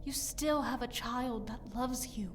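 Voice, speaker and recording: A woman's voice: a little high, unhurried, far from the mic, a little hiss or hum, lightly acted. An actress playing a protective, grieving mother in an audio drama, earnest and pleading with her husband. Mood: sorrowful pleading.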